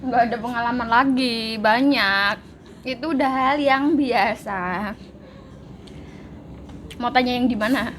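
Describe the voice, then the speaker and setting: A relaxed woman talks in a casual chat, matter-of-fact and unhurried. Her pitch is a touch high. Clear background noise sits behind her. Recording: noisy background.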